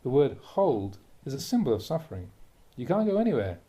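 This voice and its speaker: Mellow-voiced man reading a Dharma talk. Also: medium pitch, big pitch swings. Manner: measured, emphatic, with rhetorical questions. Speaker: Buddhist monk reading a teacher's talks aloud. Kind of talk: reading a translated Dharma talk aloud, with brief asides.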